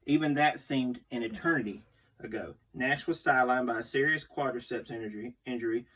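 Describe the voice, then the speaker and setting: A man's voice: mid-range voice, a bit bright, slightly rough. A man reading a magazine article aloud as the host, in a scripted storytelling read. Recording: distant microphone.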